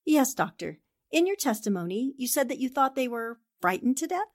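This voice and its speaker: A woman's voice: high-pitched, very animated, moderate pace. A woman playing a determined, eager newspaperwoman in the style of 1940s radio drama. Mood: businesslike, probing. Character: determined, eager newspaperwoman investigating a haunted house.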